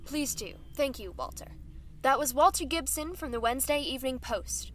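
An actress playing a female news anchor broadcasting live, guiding the interview with brisk questions. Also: high-pitched, expressive. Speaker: news presenter. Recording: noisy background.

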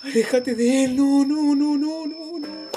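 A woman's voice: sits high, fairly even intonation, slow, music in the background. A woman with a Chilean accent acting an urgent, pleading, frightened young friend in a radio drama. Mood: hushed dread. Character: frightened young friend who saw the devil.

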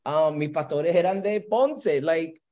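A man's voice: on the high side, takes it slowly, warm tone. A man from Brooklyn with a Puerto Rican-influenced Spanish accent, speaking as an academic guest in an interview. He answers reflectively, slipping English words into his Spanish and ending phrases with "¿verdad?". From Brooklyn, New York. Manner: mixes English into Spanish, frequent "¿verdad?" tags.

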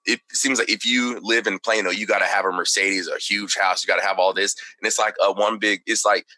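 Brief: young man from Texas, low bright voice, dry close sound, chatty and loose. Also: steady tone, fairly quick, no room sound, poor audio. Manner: chatty, lots of "like" and "you know". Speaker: podcast guest. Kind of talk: casual conversation.

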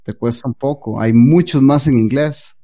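A man's voice: medium pitch, normal speed, dark tone. A man answering as an interview guest, giving earnest advice with many hesitant "eh" fillers. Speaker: guest developer.

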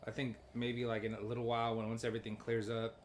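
A man with a lingering cough chatting in an easygoing, relaxed and teasing way as a podcast co-host. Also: mid-range voice, quick pace, a little hiss or hum. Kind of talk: casual podcast chat.

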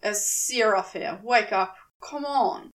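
A woman voicing a cool, weary, reproachful man with a dry, measured manner in a fan fiction audiobook. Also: mid-range voice, far from the mic, close to an everyday voice. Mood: worried.

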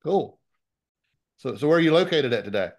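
A man's voice: mid-range voice, animated, moderate pace. Easygoing male co-host. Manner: easygoing, friendly questions. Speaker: podcast co-host. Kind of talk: casual interview chat.

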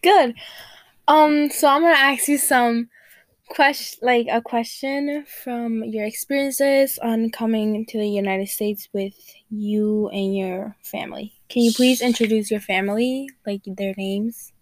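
A woman's voice: a little high, fairly even intonation, a little slow, no room sound. A teenage girl hosting a student podcast, reading an introduction and asking questions with frequent "like" fillers. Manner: reads intro, prompts with "like" fillers. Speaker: podcast host.

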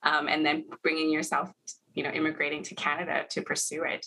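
A woman's voice: low-pitched, monotone, a little fast, bright, forceful tone, husky, rough recording. A woman co-hosting a podcast, asking questions in an eager, encouraging way. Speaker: podcast co-host. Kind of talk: casual interview chat.

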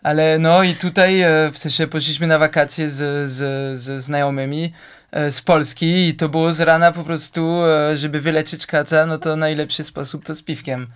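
A man's voice: on the high side, fairly even intonation, moderate pace, a bit bright, smooth. A young man from France with a French accent, speaking Polish as a second language as an interview guest, hesitant and searching for words with many fillers. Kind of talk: casual conversation.

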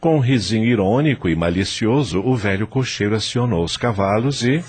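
A man narrating a radio drama in an expressive storytelling manner. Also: lowish voice, fairly quick, very noisy.

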